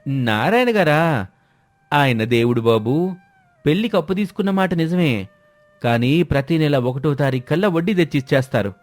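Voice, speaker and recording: A man's voice: a little high, animated, moderate pace, pauses often, soft background music, pitched above the performer's own voice, lightly acted. A man voicing a humble, respectful village moneylender who protests honestly in a moral story. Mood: earnest protest. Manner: deferential, protesting.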